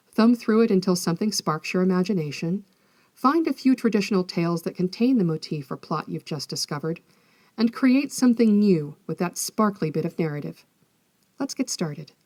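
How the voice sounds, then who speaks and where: A woman hosting an educational podcast, reading scripted narration in a measured, articulate, explanatory manner. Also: medium pitch, lively intonation, darkish tone, no echo, studio quality. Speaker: podcast host, folklorist.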